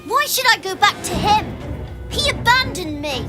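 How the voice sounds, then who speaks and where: A woman's voice: extremely high pitch, sing-song, moderate pace. A woman voicing a lonely, stubborn young spirit boy in a video game, with a childlike, petulant manner. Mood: defiant, hurt. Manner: childlike, sulky. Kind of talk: video game character acting. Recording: music behind the voice.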